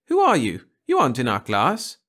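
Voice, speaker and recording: A man voicing an eager, bossy nine-year-old girl tattletale in a storybook reading, excitable and shrill. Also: moderate pace, husky, exaggerated voice. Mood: nosy, demanding. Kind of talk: storybook audiobook character voice.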